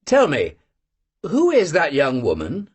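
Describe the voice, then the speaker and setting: A man voicing a polite, earnest young male cadet who speaks mildly, in a husky voice. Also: fairly high pitch, huge pitch swings, slow pace, exaggerated voice.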